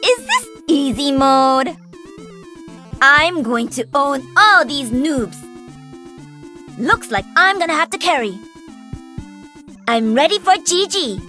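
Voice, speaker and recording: A woman's voice: sits high, very animated, slow, long pauses, sharp tone, heavily acted. A woman playing a cocky, playful young pro-gamer mech pilot in a video game, brash and upbeat. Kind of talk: video game hero voice lines. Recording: music behind.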